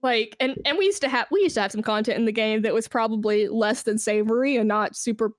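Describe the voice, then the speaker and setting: Interview guest: woman with a fairly high voice, enthusiastic, informal, conversational, recorded very dry. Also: quick pace, no room sound. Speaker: interview guest, esports brand manager. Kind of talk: casual interview chat.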